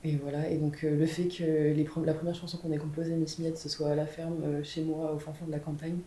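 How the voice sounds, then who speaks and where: A woman's voice: flat delivery, a little fast, warm tone. A young woman, a band's guitarist, telling the band's story in a casual interview with many fillers. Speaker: guitarist in a band. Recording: echoey room with faint rumble.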